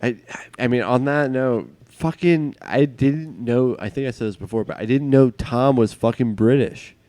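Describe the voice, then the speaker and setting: A man in his late twenties talks loosely in a casual podcast chat, swearing freely and joking with his friends. His voice sits at an average pitch. The close mic gives a dry sound with no room echo. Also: normal speed, dark tone. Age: late 20s. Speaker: podcast co-host.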